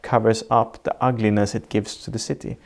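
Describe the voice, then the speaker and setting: Swedish man, architect guest, low gravelly voice, measured explainer. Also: flat delivery. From Sweden. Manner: measured, reflective, lays out definitions step by step. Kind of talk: explanatory interview answers. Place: sitting indoors in Norway.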